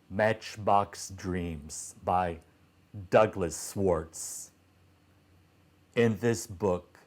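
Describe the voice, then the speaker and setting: A man reading a children's story aloud as a narrator, phrasing deliberately with frequent pauses. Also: on the low side, a little mellow.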